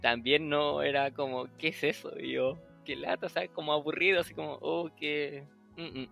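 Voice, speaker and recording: A man's voice: a little high, steady tone, moderate pace, vocal fry, a bit bright, faint music behind. A young man from Chile chatting casually as a podcast host, acting out old conversations and laughing often. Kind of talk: casual chat.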